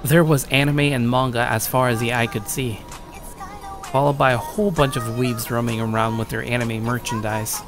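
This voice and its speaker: A man's voice: mid-range voice, fairly even intonation, normal speed, natural voice. A man playing a jaded, self-conscious young shut-in, telling his story in the first person with weary, sardonic asides. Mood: matter-of-fact. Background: background music.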